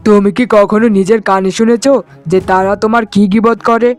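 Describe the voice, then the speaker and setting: A man's voice: high-pitched, little pitch movement, fairly quick, soft background music, natural voice. A man voicing an earnest village fish-farming brother in a cartoon family drama, talking emphatically. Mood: exasperated.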